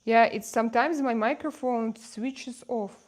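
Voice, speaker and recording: Slow-paced woman with a Russian accent. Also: fairly high pitch. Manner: halting, repeats phrases. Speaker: livestream host. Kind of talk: casual livestream chat answering viewers' comments.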